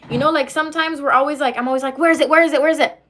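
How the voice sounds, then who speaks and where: Woman streamer, relaxed and rambling, chats with viewers while doing her makeup at home. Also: high voice, racing, slight background noise. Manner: chatty, rambling, many fillers and asides. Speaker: livestreamer answering chat. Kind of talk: casual livestream chat while doing makeup.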